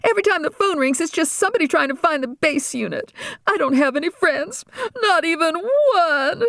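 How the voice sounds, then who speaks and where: A spoiled teenage girl whines and rants in a high, shrill voice that swings all over the place, as a woman reads her. She sounds melodramatic and put-upon. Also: sing-song, fairly quick, no echo, clean studio sound. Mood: complaining. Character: bratty whining teenage governor's daughter.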